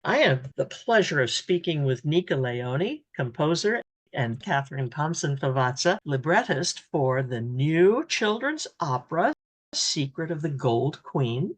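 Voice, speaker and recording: Low-pitched woman hosting radio. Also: big pitch swings, a little slow, breathy edge. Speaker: radio interview host. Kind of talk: radio interview and event announcement.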